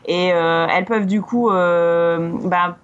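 A woman's voice: fairly low pitch, steady tone, takes it slowly, clear, resonant tone, highs cut off. A woman from northern France with a light northern French accent, speaking casually as a guest, with frequent hesitations and restarts. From Lille, northern France. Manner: hesitant, many fillers and restarts. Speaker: charity volunteer guest. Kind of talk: casual podcast interview. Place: lives in Melbourne.

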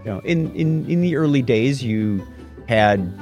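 A man's voice: mid-range voice, measured pace, lo-fi sound, soft background music. A man co-hosting a casual tech podcast, rambling and correcting himself as he talks. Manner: rambling, self-correcting, lively. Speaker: podcast co-host.

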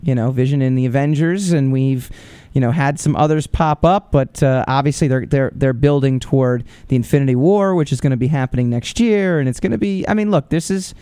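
A man's voice: mid-range voice, fairly quick, warm tone, clear, resonant tone, dry recording, studio quality. A man hosting a podcast, giving casual, opinionated commentary with lots of fillers and restarts. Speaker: podcast host.

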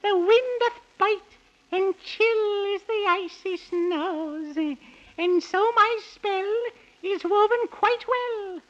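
A woman's voice: extremely high pitch, slow pace. A woman playing a gleeful, wicked old witch who cackles and rhymes in a 1930s children's radio serial. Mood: gloating, gleeful. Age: older. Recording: muffled 1930s radio recording.

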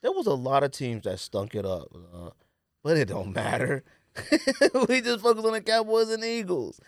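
Male podcast co-host, informal and slangy sports talk, very expressive intonation, dry close sound. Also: fairly high pitch, normal speed, dry recording. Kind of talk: casual sports chat.

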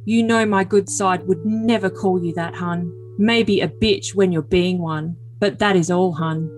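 A woman performs a needy young man full of his own importance. The voice sits on the low side, earnest and overdramatic in a comic reading of texts, with faint music underneath. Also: steady tone, a little slow, lightly acted. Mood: self-important. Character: needy, brooding young man, self-important and possessive lover. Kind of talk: overly dramatic comedic reading of text messages.

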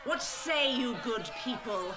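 A slow, imperious village tyrant. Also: female voice, a little high, expressive, heavy background noise. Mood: righteous oratory. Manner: imperious, oratorical, deliberate. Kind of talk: audio drama acting.

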